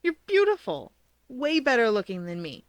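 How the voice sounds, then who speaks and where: A woman's voice: a little high, huge pitch swings, moderate pace, no echo, pitched above the performer's own voice. A woman voicing a smitten, insecure and tender young man in a fan fiction reading. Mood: ardent. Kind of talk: fan fiction audiobook character voice.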